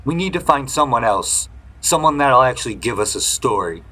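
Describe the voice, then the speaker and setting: Man acting a bright-voiced, impatient news reporter. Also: medium pitch, big pitch swings, normal speed, poor audio. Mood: exasperated. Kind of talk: audio drama acting.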